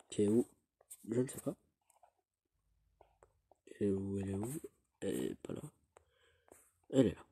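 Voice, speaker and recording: Stuffy, mellow teen with a cold. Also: male voice, fairly low pitch, husky. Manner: rambling, self-pitying, halting restarts. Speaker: gaming youtuber. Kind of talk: casual gameplay commentary.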